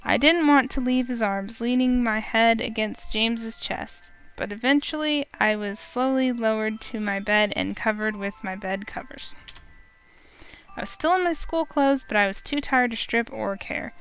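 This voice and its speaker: A woman's voice: fairly high pitch, normal speed, a bit bright, clear, resonant tone. A woman streamer reading a visual novel aloud, narrating expressively in first person.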